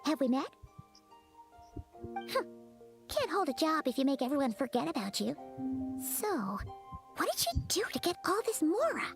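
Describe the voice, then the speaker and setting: A woman voicing a tiny, excitable, cheeky childlike fairy companion in a video game, squeaky and chattering. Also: normal speed, long pauses, soft background music. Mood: curious, chatty. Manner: refers to self in third person, chattering. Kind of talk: video game character acting.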